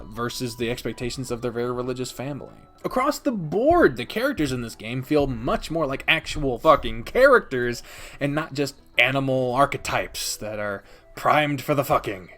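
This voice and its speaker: Animated, sweary man giving casual commentary. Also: mid-range voice. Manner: irreverent, sweary, exclamatory. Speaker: video game reviewer and host of a ranking video. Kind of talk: casual commentary reviewing visual novels.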